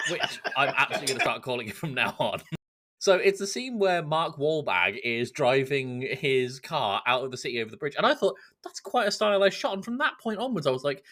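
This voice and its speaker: Animated British man, scornful podcast banter. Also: medium pitch, normal speed. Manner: animated, sweary, scornful, acts out quotes. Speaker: podcast host. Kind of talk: casual chat.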